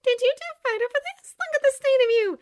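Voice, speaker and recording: Woman putting on an earnest, very high-pitched young daughter. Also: brisk. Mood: alarmed concern. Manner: bright, exclamatory, fussing.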